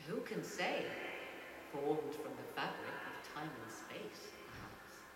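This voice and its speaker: Aloof game sorceress, archaic, measured; TV speaker, far off, hissy. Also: female voice, low voice, sing-song, full character voice. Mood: cool. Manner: measured, archaic, faintly condescending. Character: aloof, knowing sorceress woman guarding ancient secrets. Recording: echoey TV audio filmed from afar with loud hiss.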